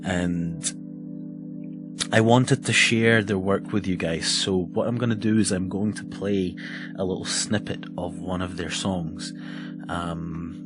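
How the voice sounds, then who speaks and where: A young man from Egypt hosting a casual podcast, talking in an enthusiastic, offhand way and swearing now and then. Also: sits low. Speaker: podcast host. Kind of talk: casual solo podcast chat.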